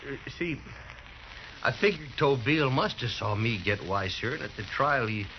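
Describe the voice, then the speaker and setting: A man's voice: medium pitch, expressive, moderate pace, a little hiss or hum, natural voice. An actor playing a hot-headed villainous gunman in an old radio western, with belligerent, rustic speech. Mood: rattled, scheming. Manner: rustic drawling grammar, belligerent. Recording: muffled old radio drama recording.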